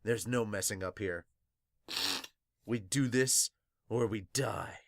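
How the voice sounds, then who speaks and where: A man voicing a grim, determined revolutionary in a hushed, grave manner for an amateur manga dub. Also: lowish voice, slow, vocal fry, dry recording, clean studio sound. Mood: grim resolve.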